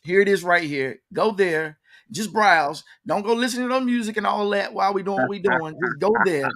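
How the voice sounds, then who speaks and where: A man with a fairly high-pitched voice hosts a casual interview. He talks in a folksy, hyping way and likes to repeat words for emphasis. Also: moderate pace, a bit bright. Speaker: interview show host. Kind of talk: casual talk-show interviewing.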